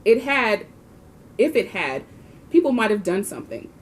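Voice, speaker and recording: A woman reads a children's storybook aloud in an expressive way, now and then correcting herself. Her pitch is mid-range for a woman. There is noticeable noise in the recording. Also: moderate pace. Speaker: volunteer reader of a children's book.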